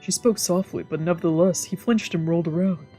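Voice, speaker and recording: Mellow, husky woman reading a story aloud. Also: lowish voice, fairly even intonation, a little fast. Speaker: VTuber streamer reading a visual novel aloud. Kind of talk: scripted narration with casual asides. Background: faint music.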